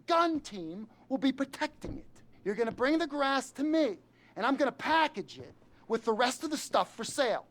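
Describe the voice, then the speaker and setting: A man's voice: very high voice, fairly bright tone, exaggerated voice. A man playing a jittery, fawning criminal henchman in a video game, rambling nervously and correcting himself as he goes. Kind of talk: video game cutscene acting.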